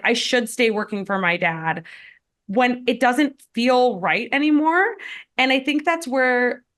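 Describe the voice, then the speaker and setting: A woman hosts a podcast interview, asking questions and then reflecting at length. She is supportive and affirming, and her voice sits at a middle pitch. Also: fairly bright tone. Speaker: podcast host. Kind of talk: casual interview chat.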